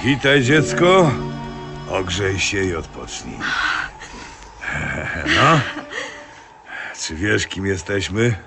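Dostojny, skrzypiący duch miesiąca. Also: male voice, sits low, big pitch swings, extremely slow, long pauses, full character voice. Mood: welcoming. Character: kindly, solemn male month spirit, elder of brothers. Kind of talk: old audio fairy-tale acting. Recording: old radio play with music behind.

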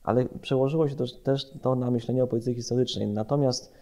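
A man giving expert commentary in an analytical podcast discussion, phrasing his points deliberately and with some hesitation. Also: mid-range voice, fairly even intonation, mellow.

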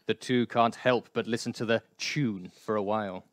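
A man's voice: on the low side, fairly even intonation, moderate pace, very noisy, close to an everyday voice. A man playing a wry narrator, reading scripted comic storybook narration for a space radio play. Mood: wry, narrating.

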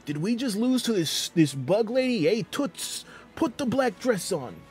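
A man's voice: sits high, sing-song, unhurried, soft background music, voice pushed up. Man doing a brash wisecracking tough guy. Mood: cocky.

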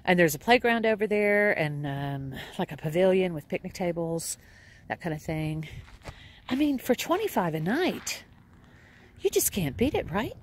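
A woman's voice: lowish voice, a little hiss or hum. A woman in her forties with a Texan accent, chatting casually to a phone camera outdoors at an RV campground, rambling and laughing often. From Texas. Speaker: RV travel vlogger. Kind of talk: casual selfie vlog chat. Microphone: phone camera at arm's length.

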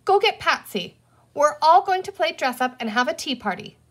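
A woman's voice: high voice, very animated, moderate pace, pitched above the performer's own voice. A woman voicing a bossy, exasperated young girl in a story, scolding and commanding as a big sister. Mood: triumphant, commanding.

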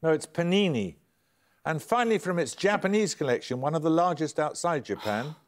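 An older man hosting a television quiz, reading questions in a crisp, measured way with dry amusement. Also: fairly high pitch, expressive. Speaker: quiz show host. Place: television studio.

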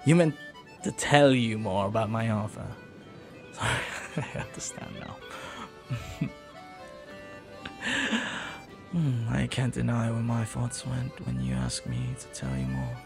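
A man playing a flirty, earnest pirate captain in an intimate audio roleplay, speaking softly and haltingly with tender devotion. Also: medium pitch, lively intonation, gravelly, over music. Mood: playful, teasing. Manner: soft, halting, tender, sometimes near whisper.

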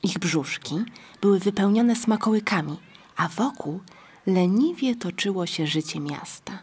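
A woman reading scripted narration for a children's story, with a husky, breathy voice. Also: medium pitch, animated, a little slow, darkish tone.

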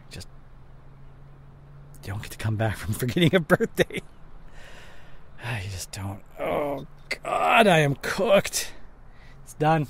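A young man playing an anxious, regretful boyfriend in an intimate audio roleplay, speaking haltingly with sighs and whispered words. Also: fairly high pitch, sing-song, gravelly, husky, full character voice. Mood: panicked regret. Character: regretful, anxious boyfriend tenderly consoling his girlfriend.

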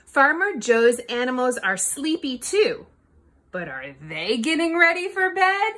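High-pitched woman reading to children, unhurried. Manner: expressive, addressing young children with questions. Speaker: storybook reader for children. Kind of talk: animated read-aloud of a picture book. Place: small living room, indoors on a couch.

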